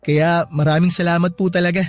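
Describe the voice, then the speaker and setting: A man plays a young Filipino graduate son in a radio drama, earnest, grateful and respectful. His voice sits quite high for a man, with soft music behind it. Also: level tone, fairly quick, close to an everyday voice.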